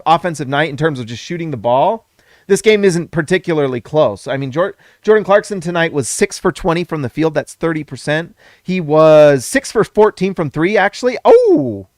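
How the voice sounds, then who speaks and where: A man hosting a casual basketball reaction, excitable and emphatic, repeating lines and correcting himself, slightly hoarse. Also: on the high side, moderate pace, no room sound, clean studio sound. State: losing his voice. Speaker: sports channel host.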